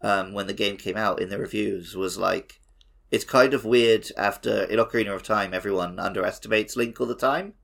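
A man's voice: lowish voice, fairly quick, vocal fry, a bit bright. A man chatting casually as co-host of a gaming podcast.

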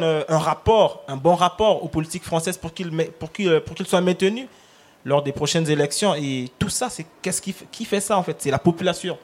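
A man with a Congolese accent, a native Lari speaker and slam poet, explaining with emphasis and frequent repetition. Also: fairly high pitch. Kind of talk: slam recital and explanatory talk.

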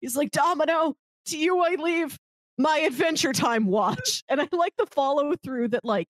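A woman with a fairly high voice and very lively, swooping intonation, recorded close and dry. She talks as a podcast co-host in a casual, animated storytelling style. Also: moderate pace, no echo. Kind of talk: casual movie podcast chat.

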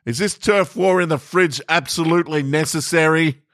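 A man's voice: a little high, normal speed, fairly bright tone, no room sound, studio quality, pitched above the performer's own voice, close to an everyday voice. A man voicing an exasperated, defiant and petty housemate in an audio short story, argumentative and incredulous.

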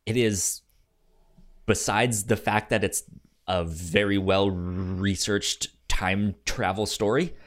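Male podcast co-host, low-pitched, unhurried and halting, stutters on sounds and words, casual movie-review chat. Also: steady tone. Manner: halting, hesitant delivery.

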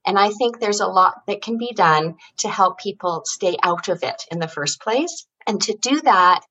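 A woman from Canada explains her field fluently and earnestly. She speaks at a middle pitch as an interview guest, with only the odd filler. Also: lively intonation, moderate pace, pushed and bright, husky, low-quality recording. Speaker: guest, senior care advisory service founder. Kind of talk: interview answers.

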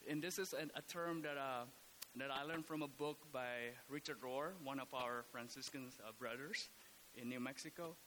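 Priest from indonesia, bright voice, lots of ums and restarts, faint hiss. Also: male voice, mid-range voice. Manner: halting, many fillers and restarts. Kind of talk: casual podcast talk.

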